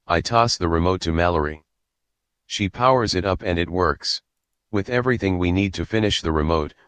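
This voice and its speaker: A man's voice: low voice, a bit bright, dry recording. A man narrating, reading a story script aloud.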